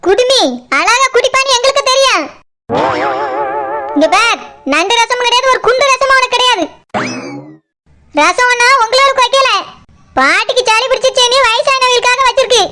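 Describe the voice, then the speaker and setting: A performer voicing a scolding, sarcastic village woman in a comedy cartoon, with a Kanyakumari Tamil accent and an artificially squeaky voice. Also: moderate pace, long pauses. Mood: scornful, scolding. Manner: sarcastic, nagging, scornful. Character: scolding, sarcastic village woman, sharp-tongued elder sister and mother. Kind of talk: comedy cartoon dialogue in colloquial dialect. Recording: very noisy track with clicks.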